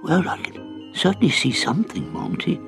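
A man voicing a shy, clever teenage schoolgirl who stammers and rushes with eager excitement. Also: a little high, gravelly, music in the background, voice pushed up, heavily acted. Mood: excited. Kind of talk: audiobook character reading.

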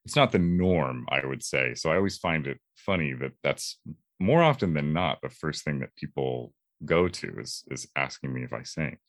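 A man with a bass timbre giving reflective interview answers as a voice actor, in a halting, thoughtful, measured way. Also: dry recording, studio quality.

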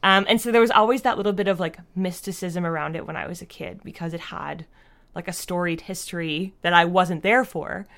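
A woman with a Canadian accent and a fairly low voice talks casually as a podcast guest. She is chatty and informal, peppering her sentences with "like". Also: brisk, a bit bright. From Fredericton, New Brunswick, Canada. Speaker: podcast guest, audio drama creator. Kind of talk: casual interview chat.

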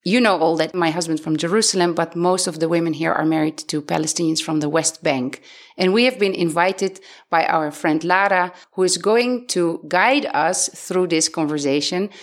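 A woman's voice: on the low side, normal speed. A woman from the Netherlands hosting a podcast, speaking in a conversational presenting style. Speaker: podcast host.

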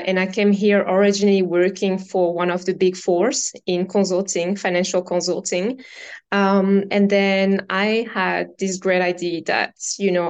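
A woman's voice: fairly low pitch, clean tone. A woman from France with a French accent, a guest on a podcast, answering earnestly with fillers and repeated phrases. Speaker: podcast guest, brokerage founder. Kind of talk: casual interview answers.

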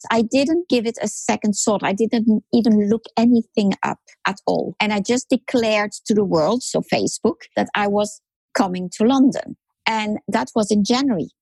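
A woman's voice: mid-range voice, animated, moderate pace, a little mellow, breathy edge, no echo, poor audio. A woman in her sixties from France, a podcast guest telling her story in an emphatic way, repeating words for rhythm. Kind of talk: storytelling interview talk.

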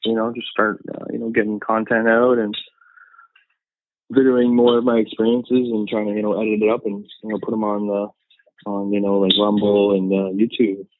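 Low-voiced Canadian man on a tinny line. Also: monotone, normal speed, background noise. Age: 30s. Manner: rambling, many fillers and laughs. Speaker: podcast guest. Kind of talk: casual chat.

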